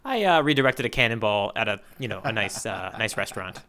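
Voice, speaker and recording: A man's voice: on the low side, expressive, brisk, sharp tone. A man co-hosting a podcast in casual conversation, peppering his talk with "you know". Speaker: podcast co-host. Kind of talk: casual chat recapping a manga arc.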